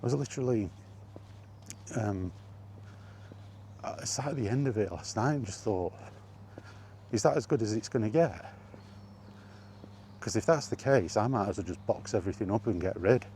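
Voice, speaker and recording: A man's voice: fairly low pitch, moderate pace, pauses often, mellow, slight background noise. A man talking off the cuff in an exasperated gaming rant, emphatic and full of rhetorical questions. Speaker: solo video game vlogger.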